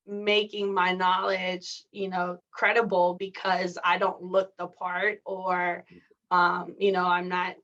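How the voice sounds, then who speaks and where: A woman's voice: mid-range voice, fairly bright tone, dull audio. A woman who was born in Mexico and raised in California, a coach and athletic director, talking candidly as a podcast guest with lots of "like" and "you know". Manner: candid, chatty, many fillers like "like" and "you know". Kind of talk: casual interview conversation.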